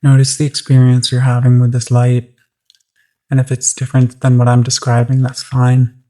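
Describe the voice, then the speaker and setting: A meditation guide speaking gently and soothingly, unhurried, with long pauses between phrases. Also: mid-range voice, completely flat delivery.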